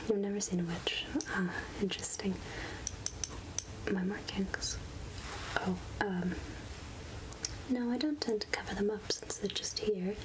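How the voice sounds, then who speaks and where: Casting: gentle, scatterbrained witch healer played by a woman, breathy half-whisper, very unhurried, hesitant, ASMR roleplay with audible background noise. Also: mid-range voice, close to an everyday voice. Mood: musing, friendly. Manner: slow, gentle, hesitant murmuring with repeated phrases. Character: gentle, absent-minded witch healer and potion seller. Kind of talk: soft-spoken ASMR roleplay.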